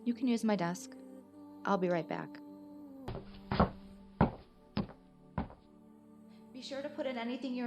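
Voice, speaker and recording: A woman acting in a radio play as a concerned, steady federal agent, questioning and reassuring. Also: mid-range voice, close to an everyday voice. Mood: businesslike.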